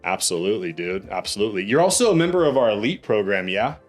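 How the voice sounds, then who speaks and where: Upbeat, low-voiced podcast host man. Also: big pitch swings, quick pace, faint music behind. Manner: upbeat, says "dude" and "bro" a lot. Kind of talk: casual interview chat.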